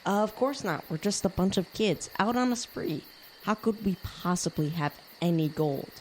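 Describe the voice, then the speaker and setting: Practical, stubborn kid, lowish young female voice acted, for audio drama, faint noise behind. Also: animated, moderate pace, lightly acted, poor audio. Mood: evasive. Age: child or teen.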